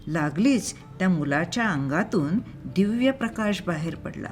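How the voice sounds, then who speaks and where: A woman narrating a scripted moral story in a measured, expressive storytelling manner. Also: lowish voice, faint music behind. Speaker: storyteller and channel host.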